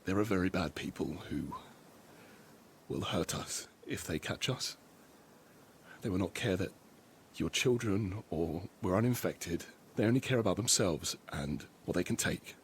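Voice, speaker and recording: A man's voice: low voice, expressive, a little slow, slight background noise. A man playing a grave but tender, protective father at sea, earnest and instructive in a naturalistic audio drama. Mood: grave, warning.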